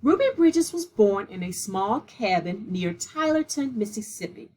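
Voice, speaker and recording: Slightly husky woman reading a storybook. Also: mid-range voice, big pitch swings, unhurried, darkish tone. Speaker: read-aloud storyteller. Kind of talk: scripted narration of a children's picture book.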